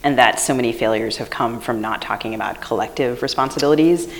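A woman's voice: very deep voice, quick pace, a little hiss or hum, rough recording. A woman podcast co-host asking reflective, inquisitive questions in a live conversation on a festival stage.

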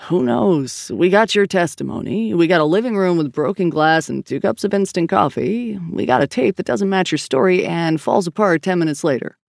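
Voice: medium pitch, animated, normal speed, creaky, hardly any pauses, dry recording. Brief: a narrator voicing a weary male detective partner, audiobook, dry and offhand, dead close sound. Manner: dry and dismissive. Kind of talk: audiobook character voice.

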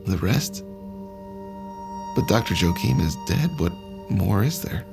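This is a wry, laid-back character from an audio drama. His voice is low and gravelly, with music playing clearly behind it. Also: exaggerated voice.

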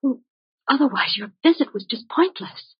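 An actress playing an earnest, pleading young heiress with a breathy, husky voice in old-time radio drama style. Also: female voice, high-pitched, expressive, normal speed, dry recording, heavily acted. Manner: earnest, insistent. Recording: dry, muffled vintage radio recording.

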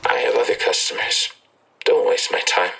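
A man playing a cagey, menacing internet ghost in an audio drama, terse and probing, with a low gravelly voice. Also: normal speed, bright, forceful tone, a little hiss or hum, close to an everyday voice. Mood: coldly dismissive.

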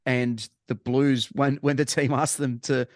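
A man's voice: medium pitch, little pitch movement, a little slow, dry recording, clean studio sound. Rambling Australian man talking footy. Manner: rambling, restarts phrases, emphatic. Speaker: podcast co-host. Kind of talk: casual sports chat.

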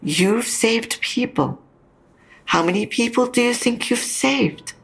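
Husky, creaky dying mother. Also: on the high side, a bit bright, full character voice. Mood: consoling, earnest. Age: older. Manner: slow, halting, probing. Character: dying mother, frank, consoling, stubbornly insistent. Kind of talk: audio drama acting.

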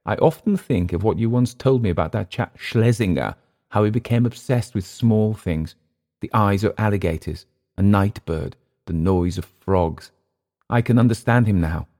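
A bitter Englishman gone to seed , acted out by a male audiobook reader. His voice is lifted well above a low narrating register, with a volatile, mocking edge. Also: moderate pace, mellow, natural voice. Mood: earnest, defiant.

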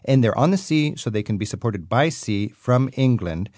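A man's voice: medium pitch, animated, normal speed, darkish tone, no echo, clean studio sound. Curious male radio host. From United States. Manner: curious, questioning, enthusiastic. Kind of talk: radio interview.

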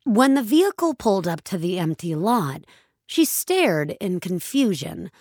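A woman reading scripted story narration, expressive and deliberate, as the narrator of a tale. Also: mid-range voice, no echo, studio quality.

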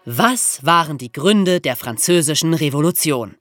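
A woman's voice: sits low, lively intonation, a little slow, over loud music. A woman co-hosting a podcast, explaining history conversationally for young listeners. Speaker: podcast co-host.

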